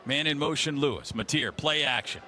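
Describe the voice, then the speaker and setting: A man's voice: on the high side, brisk, crowd noise. A man with an American accent calling football play-by-play on radio in clipped short phrases. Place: stadium broadcast booth. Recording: live radio sportscast with faint noise.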